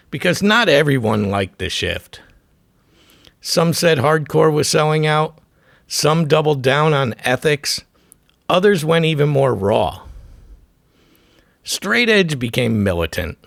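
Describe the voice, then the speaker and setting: A man's voice: a little high, very animated, a little slow, vocal fry, pauses often, a bit bright, no room sound, clean studio sound. A man narrating a scripted music documentary as its host, delivering short, punchy, dramatic phrases. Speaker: host of a music history show.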